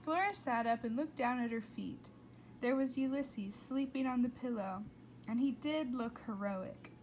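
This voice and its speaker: A woman reading a children's book aloud with expressive, playful and dramatic narration. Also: on the high side, measured pace, a little hiss or hum, low-quality recording. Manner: dramatic, playful delivery with whispers and asides. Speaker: read-aloud storyteller.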